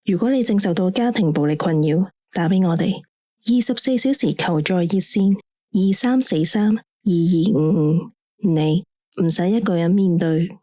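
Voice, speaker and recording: Earnest, reassuring helpline announcer with a Hong Kong Cantonese accent, mellow and dry, voiced by a woman. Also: fairly low pitch, moderate pace, no echo, natural voice. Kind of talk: public service ad read.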